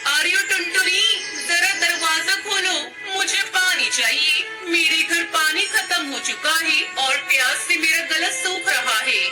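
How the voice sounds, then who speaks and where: A woman's voice: very high-pitched, unhurried, few pauses, over loud music. A woman voicing an outspoken, cautious female crow neighbour in a children's cartoon. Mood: calling out. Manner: admonishing, frank. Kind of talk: Hindi cartoon moral story acting.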